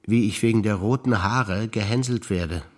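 An older man from Bavaria speaks remembered moments on stage in a low voice. His delivery is calm, understated and matter-of-fact. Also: normal speed. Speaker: stage actor. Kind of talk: scripted stage recitation of memories.

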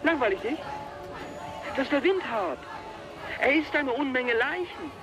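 A man's voice: very high voice, lively intonation, measured pace, crowd noise, low-quality recording. An actor playing a haunted, fearful man who believes the wind is hunting him, in a brooding, dramatic German radio play style. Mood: ominous awe.

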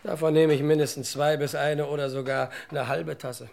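Gravelly highish sidekick, cheeky grumbler, blunt wisecracks, old radio play with lots of reverb. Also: male voice, moderate pace. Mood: cheeky, dry. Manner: blunt, deadpan wisecracks with comic exaggeration. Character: grumbling, cheeky male sidekick, simple working man. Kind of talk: old German radio crime comedy acting.

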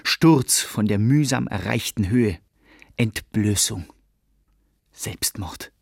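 A man with a husky, gravelly voice narrating a literary radio play. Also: fairly low pitch, expressive, slow, no room sound, studio quality.

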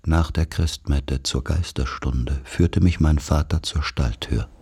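A man's voice: rumbling low, fairly even intonation, slow pace, vocal fry, a little mellow, slightly rough. A man narrating literary, scripted childhood memories for a radio play.

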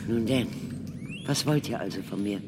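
Man playing a very low-voiced, learned older man. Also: background noise, natural voice. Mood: guarded, formal. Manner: measured, formal, dramatic pauses. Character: learned former prisoner, wry doctor recounting his past. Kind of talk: German radio drama acting, storytelling. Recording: reverberant room, faint music underneath.

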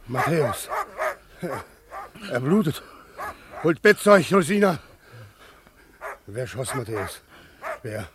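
An actor playing an alarmed village farmer in a radio drama, giving short, urgent, commanding calls. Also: male voice, medium pitch, sing-song, slow, long pauses, noisy background. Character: alarmed village farmer taking charge at an emergency. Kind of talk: German radio drama acting.

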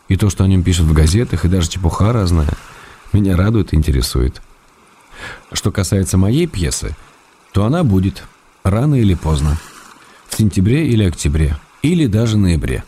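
An actor reading a writer's tender letters to his wife in a radio play, gently wry and unhurried. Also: low-pitched, slight background noise.

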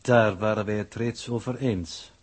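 An actor in a 1960s radio drama playing an imperturbable, logical expedition coordinator who speaks formally and precisely. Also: male voice, fairly low pitch, steady tone, measured pace. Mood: unruffled, formal.

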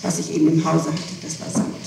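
A woman's voice: sits low, completely flat delivery, fast talker, creaky, warm tone. A middle-aged woman answering questions in an interrogation, explaining insistently and repeating herself, on an old tape recording. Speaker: person being questioned by a prosecutor. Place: reverberant room, far from the microphone. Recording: echoey, distant, noisy archival tape recording.

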